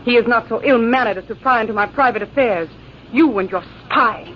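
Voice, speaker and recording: Actress as high-voiced, haughty young lady. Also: fairly quick, close to an everyday voice. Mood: indignant. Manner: haughty, formal, melodramatic. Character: proud, beautiful young woman, indignant at a jealous suitor. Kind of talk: 1940s radio drama acting. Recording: hissy, muffled old radio recording.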